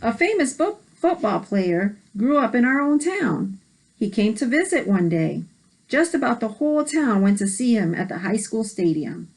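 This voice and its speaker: A woman's voice: a little high, big pitch swings, normal speed, poor audio. A woman co-hosting a read-aloud, reading a picture book expressively with short cooing reactions.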